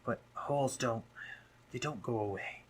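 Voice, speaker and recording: A man playing a brooding, sardonic drider monster, a guarded but caring doctor, in an intimate roleplay audio drama, speaking formally with halting pauses. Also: mid-range voice, slight background noise, natural voice. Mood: grave, gentle.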